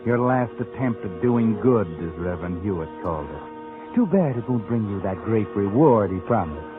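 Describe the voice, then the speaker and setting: An actor playing a sardonic, mocking narrator who needles like a conscience in a 1940s radio suspense show. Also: male voice, fairly low pitch, animated, normal speed, lightly acted. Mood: sardonic, taunting. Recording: narrow-band old radio recording with music.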